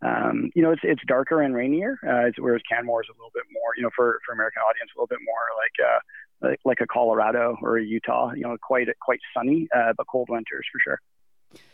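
A man's voice: medium pitch, fairly even intonation, a little fast, vocal fry, no echo. A man being interviewed over a remote call, talking casually in a rambling way with many fillers and restarts. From Nigeria. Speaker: guest. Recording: tinny phone-like call line.

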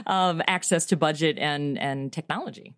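A woman's voice: sits low, sing-song, a little slow, fairly bright tone, no room sound, studio quality. A middle-aged woman talking casually as a guest in an interview. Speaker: guest, audio comedy writer and performer.

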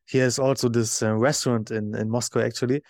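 A man hosting a podcast, asking questions in a steady, chatty way. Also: mid-range voice, a little fast, no room sound, studio quality. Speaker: podcast host. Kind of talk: interview questions.

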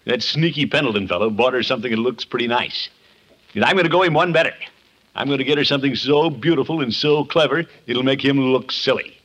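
An actor playing a pompous, boastful middle-aged suitor in a 1950s radio comedy, with a blustery, chuckling, self-satisfied manner. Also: male voice, medium pitch, fast talker, fairly bright tone, lightly acted. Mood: boastful, eager. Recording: noisy vintage 1950s radio recording.

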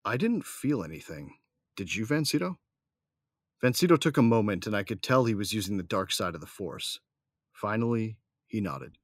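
A man's voice: lowish voice, big pitch swings, moderate pace, no room sound. A man playing a cocky, battle-weary young gunslinger, narrating and talking in a wry, casual, slangy way in an audio drama. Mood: teasing. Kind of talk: first-person audio drama narration and dialogue.

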